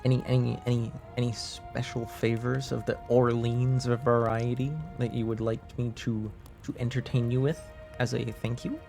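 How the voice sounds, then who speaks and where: A man whose first language is French, chatting casually to livestream viewers in a hesitant, halting way, sounding slightly under the weather. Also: mid-range voice, little pitch movement, vocal fry, darkish tone. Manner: hesitant, halting, often trailing off. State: slightly under the weather, deeper than usual, clearing throat. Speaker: live streamer, host. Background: faint music.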